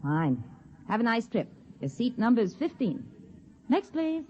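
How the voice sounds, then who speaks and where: An actress playing a courteous, officious young spaceship stewardess in a 1950s radio drama. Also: female voice, a little high, very animated, measured pace, darkish tone, a little hiss or hum. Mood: courteous, brisk. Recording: muffled vintage 1950s radio recording.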